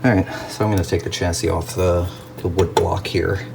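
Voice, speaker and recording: A man narrating a casual hands-on restoration video as a hobbyist, musing aloud to himself in an unhurried way. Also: sits low, fairly even intonation, gravelly, background noise.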